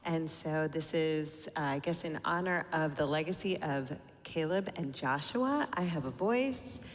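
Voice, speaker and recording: A woman leading a synagogue service, speaking earnestly and singing and chanting slow, heartfelt melody lines. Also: low voice, long reverb tail. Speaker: cantor or song leader at a synagogue service. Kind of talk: introducing a song, then singing and chanting liturgy. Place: synagogue sanctuary, live broadcast. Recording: live service broadcast with music. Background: accompanying music.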